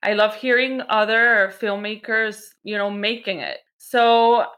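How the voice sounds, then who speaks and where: A woman commercial director from Mexico, speaking as a podcast guest in a friendly, measured way. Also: medium pitch, fairly even intonation, highs cut off. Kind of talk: casual interview.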